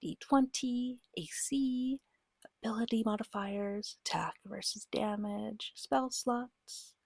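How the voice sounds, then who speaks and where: Casting: female ASMR guide, breathy and half-whispered with soft fry, patient and soothing delivery, dry intimate close-mic sound. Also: a little high, steady tone, no echo. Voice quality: breathy, often slipping into whisper. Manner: gentle, patient, reassuring, slow and deliberate. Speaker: game master and ASMR host. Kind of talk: soft-spoken ASMR guide to a tabletop game. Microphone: close microphone.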